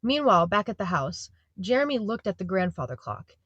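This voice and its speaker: A woman's voice: medium pitch, big pitch swings, brisk, fairly bright tone, husky, no room sound, lo-fi sound. Woman narrator with a dry, close sound. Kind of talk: scripted audio drama narration.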